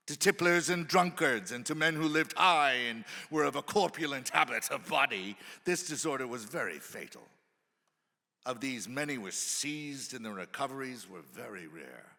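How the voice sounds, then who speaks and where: In a reverberant hall, a man delivers a dramatic reading of a historical account. His voice is forceful and sharp at a middling pitch, and his phrasing is formal and oratorical, with weighted pauses. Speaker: actor giving a dramatic reading. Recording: reverberant hall with long echo.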